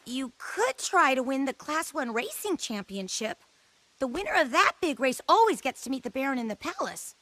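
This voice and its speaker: An actress playing a smart, earnest young mechanic in a video game cutscene. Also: female voice, high voice, very animated, moderate pace, fairly bright tone, no room sound. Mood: eager.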